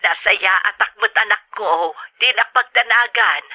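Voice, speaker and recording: A woman's voice: mid-range voice, a little slow. A woman playing a caring, anxious older mother of a grown son, affectionate and solicitous, heard through a telephone filter. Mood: caring, concerned. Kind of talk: dramatic radio-style acting. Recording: narrow tinny telephone line.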